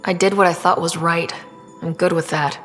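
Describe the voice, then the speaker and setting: An actress playing an earnest, idealistic young human explorer who leads a team in a video game, direct and sincere. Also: female voice, fairly low pitch, steady tone, moderate pace, faint music behind, close to an everyday voice. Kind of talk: naturalistic video game acting.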